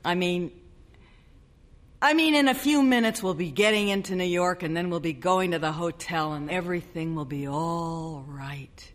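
A young newlywed husband, as a woman reader plays him, trying to smooth things over. He coaxes and soothes while his patience wears thin. The voice stays close to the reader's own. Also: fairly low pitch, normal speed, natural voice. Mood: coaxing. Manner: coaxing, soothing. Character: young newlywed husband, placating, a bit exasperated. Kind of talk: dramatic reading of a short story.

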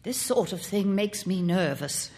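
A woman voicing a genial grown man, an uncle who gently teases a boy. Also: animated. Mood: indulgent. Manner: slow, lowered, indulgent.

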